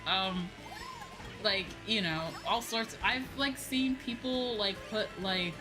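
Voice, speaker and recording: A woman's voice: mid-range voice, slow, low-quality recording. A woman streamer chatting casually with viewers as she games, rambling, repeating phrases and swearing freely. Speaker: streamer, VTuber host. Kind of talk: casual chat with viewers while gaming. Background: video game music.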